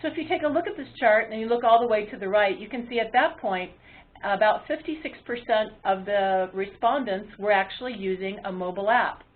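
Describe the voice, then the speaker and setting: A woman's voice comes through a narrow, phone-like line with a medium pitch. She presents a webinar in an explanatory way, pausing often on ums and uhs as she moderates. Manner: halting delivery with frequent ums and uhs.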